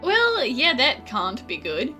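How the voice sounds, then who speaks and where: A woman's voice: high-pitched, huge pitch swings, slow, bright, forceful tone, faint music behind. A woman giving casual, chatty playthrough commentary on a game, reacting playfully. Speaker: gaming video creator.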